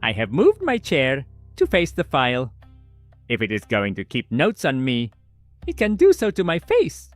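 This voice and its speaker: A man acts out a paranoid, dryly formal male note-keeper in audio fiction, clipped and ominous. His pitch swings very widely, and the sound is close and dry. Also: wildly swooping pitch, normal speed, dry recording, soft background music. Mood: formal, uneasy. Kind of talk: audio fiction, dictated file notes.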